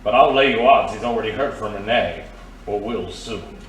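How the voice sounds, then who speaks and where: A man acting a fat old crook of a radio play, theatrical and wheedling, always a little afraid. His voice is fairly low, heard in a roomy space with faint noise. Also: moderate pace, fairly bright tone, distant mic, close to an everyday voice. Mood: wary, sly. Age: older. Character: old, fat, shifty, frightened underworld schemer. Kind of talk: audio drama acting.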